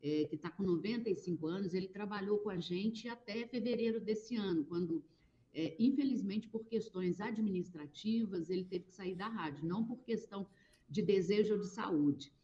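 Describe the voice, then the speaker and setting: Brazilian woman guest, mellow voice, muffled lo-fi sound, eager storyteller. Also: medium pitch, moderate pace. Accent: Brazilian Portuguese. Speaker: guest, radio station worker. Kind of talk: conversational interview answer.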